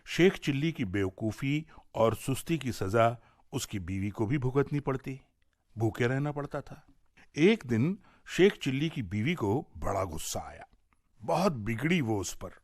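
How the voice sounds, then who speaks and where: Animated male radio storyteller. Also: mid-range voice, unhurried, no echo, clean studio sound. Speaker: radio storyteller and series presenter. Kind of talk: expressive Hindi folk tale narration.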